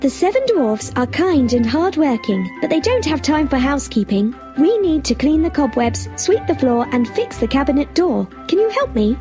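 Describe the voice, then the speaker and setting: A woman's voice: high-pitched, big pitch swings, moderate pace, few pauses, over music. A woman playing a sweet, cheerful young princess in a children's story, politely encouraging the listener. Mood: gently instructive. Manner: polite, encouraging, gently instructive.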